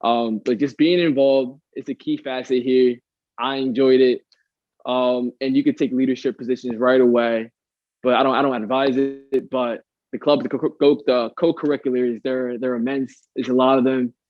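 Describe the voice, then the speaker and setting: A young man in his late teens, a student leader, speaks in a flat, steady tone and stumbles over some of his words. The recording is dry and dull, with a lo-fi feel. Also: mid-range voice, no room sound. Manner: halting, with fillers. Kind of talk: casual talk to visitors.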